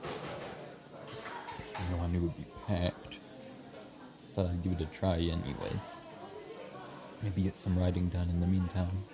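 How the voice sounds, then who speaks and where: Male actor as shy, friendly café regular, young writer type. Deep voice, bashful and earnest ASMR roleplay delivery, busy chatter behind. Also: flat delivery, takes it slowly, long pauses. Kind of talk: ASMR roleplay, soft conversational acting. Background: café crowd chatter.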